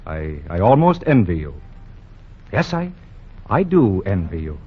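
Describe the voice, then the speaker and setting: An actor playing a pompous, benevolent store owner in a 1940s radio play, formal and halting. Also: male voice, low voice, very animated, slightly rough, full character voice. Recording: dull old radio broadcast.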